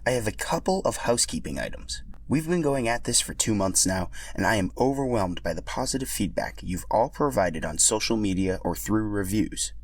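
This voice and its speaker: Male podcast host, scripted storytelling read, lowish voice, very dry recording. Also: expressive, moderate pace, few pauses, no room sound. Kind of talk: scripted narration.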